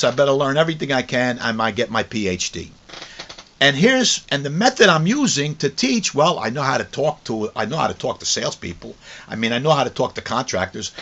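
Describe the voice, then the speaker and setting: A man's voice: medium pitch, fairly bright tone. A mature man giving an autobiographical teaching talk in a conversational storytelling way, repeating phrases for emphasis. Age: middle-aged or older. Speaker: course teacher. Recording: dull lo-fi recording.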